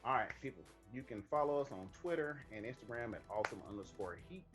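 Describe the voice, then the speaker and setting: A man's voice: medium pitch, animated, fast talker, a bit bright, faint music behind. A man co-hosting a movie talk show, chatting casually in an emphatic, sweary way. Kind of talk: casual chat.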